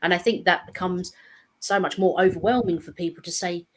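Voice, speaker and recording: Woman panel guest, lowish voice, reflective conversational delivery. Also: a little fast. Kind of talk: casual discussion.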